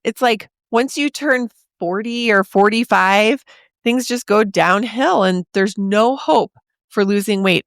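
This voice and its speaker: A woman in her forties hosting a podcast, talking straight to the listener in a chatty, encouraging way, her voice a little raspy from a sore throat. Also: mid-range voice, a bit bright, no room sound, studio quality. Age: 40s. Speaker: podcast host. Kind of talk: casual podcast monologue.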